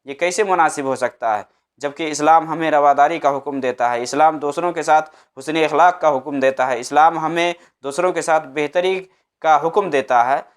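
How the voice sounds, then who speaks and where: Clear, higher-voiced male Islamic preacher. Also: moderate pace. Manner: didactic, emphatic, repeats his points in short phrases. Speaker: Islamic preacher answering a religious question. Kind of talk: religious advice talk.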